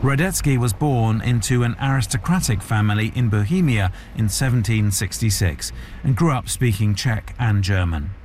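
A man's voice: on the low side, lively intonation, moderate pace, darkish tone, a little hiss or hum. A man working as a radio correspondent, narrating a scripted news report.

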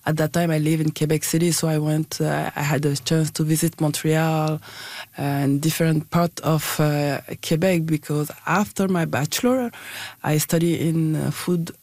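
A woman's voice: low-pitched, fairly even intonation, moderate pace, darkish tone, no echo. A woman from Niger, a Hausa speaker, speaking hesitantly in an interview, restarting words and using many fillers. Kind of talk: casual interview talk.

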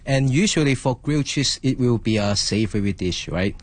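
A man, a guest chef, lays out his recipe step by step in an earnest, explanatory way. His voice sits at a middling pitch, and the sound is dry and close. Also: expressive, normal speed, no echo. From Hong Kong. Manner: earnest, explanatory, with small grammar slips. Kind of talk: explaining a recipe in a studio interview.

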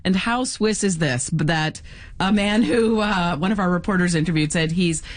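A woman's voice: fairly low pitch, normal speed. A woman giving a live news report, chatty and full of fillers. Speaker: field reporter.

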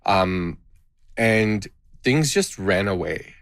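A man in his thirties, born in Canada with Gujarati as his first language, speaking as a guest about his life, reflective and halting with frequent restarts. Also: deep voice, lively intonation, creaky, a bit bright, dry recording, clean studio sound. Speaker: guest, tabla player and educator. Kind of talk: interview conversation.

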